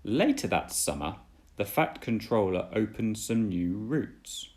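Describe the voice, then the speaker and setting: Slow, low-voiced man reading a story. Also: lively intonation, a little mellow. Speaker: storyteller, dad reading aloud. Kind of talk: children's storybook narration.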